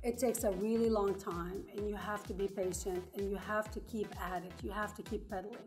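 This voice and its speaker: A woman's voice: mid-range voice, fairly even intonation, fairly quick. Interview guest: woman, middle-aged, from Lebanon, Arabic and French native. Conversational storyteller who acts out bits of dialogue, faint music underneath. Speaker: interview guest, engineer turned small business owner.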